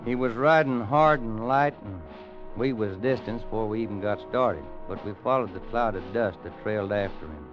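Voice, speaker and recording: An actor playing a plain-spoken, kindly rancher in a radio western, folksy, with an American Western drawl. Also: male voice, on the low side, normal speed, close to an everyday voice. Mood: somber storytelling. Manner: folksy, plain, hesitant at times. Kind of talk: old-time radio western narration and acting. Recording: dull vintage radio recording with music.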